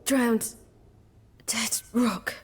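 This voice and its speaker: Weakened young game heroine: high voice, slow, faltering, frightened. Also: female voice, steady tone, slightly rough, full character voice. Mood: weak. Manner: faltering, hesitant. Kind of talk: dramatic video game acting.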